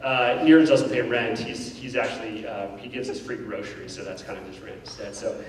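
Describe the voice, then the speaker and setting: Middle-aged man, keynote storyteller, rapid and candid, heard from far back in a big hall. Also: fairly low pitch, background noise. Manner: animated, gestures while pacing the stage; candid, self-deprecating. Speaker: keynote speaker. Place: large indoor auditorium stage. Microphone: handheld mic.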